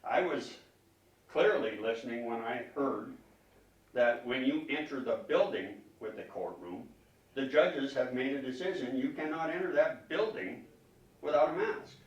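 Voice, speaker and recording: A man speaking as a concerned citizen at a public meeting, arguing emphatically and haltingly, often repeating words as he questions officials. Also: medium pitch, lively intonation, lo-fi sound. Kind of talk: public comment, argumentative questioning. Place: public meeting room, indoors. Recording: echoey room, distant microphone.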